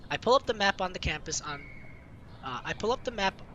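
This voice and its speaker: A man's voice: high voice, lively intonation, a little fast, sharp tone, muffled recording, a little hiss or hum. A man hosting a gaming video, reading visual novel narration aloud informally, with casual asides and self-corrections. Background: game music.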